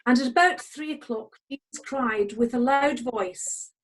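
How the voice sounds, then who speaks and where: A woman with a husky voice, narrating a scripted dramatic scripture reading over a video call. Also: on the high side, unhurried, rough recording. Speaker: narrator in a congregation's Passion reading.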